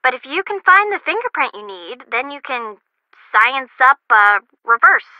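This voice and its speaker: High-pitched, snarky young student. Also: female voice, big pitch swings, normal speed, dry recording, narrow, tinny audio. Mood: sarcastic, grudging. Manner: sarcastic, snarky, dramatic. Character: sarcastic young female student, snarky and grudgingly helpful. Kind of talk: video game voice acting, phone dialogue.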